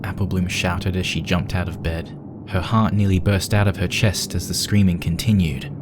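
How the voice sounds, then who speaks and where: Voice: deep voice, steady tone, normal speed, background noise. A narrator reading a scripted fan fiction story aloud.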